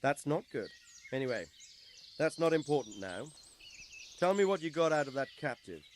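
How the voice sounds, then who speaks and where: A man playing a brisk, inquisitive and authoritative medieval lord in a video game. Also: on the high side, sing-song, slight background noise. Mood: stern. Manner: commanding, inquisitive.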